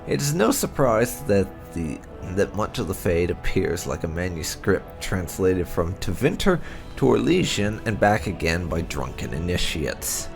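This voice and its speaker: A man narrating a video game playthrough, reading lore aloud in an expressive, measured storytelling way with emphatic phrasing. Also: low voice, creaky, over music. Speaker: gaming channel narrator.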